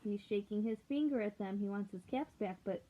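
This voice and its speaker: A woman reading a picture book aloud to children, gently asking them questions along the way. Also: mid-range voice, fairly quick. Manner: gentle, asks listeners questions. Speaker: read-aloud storyteller. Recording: tinny lo-fi recording.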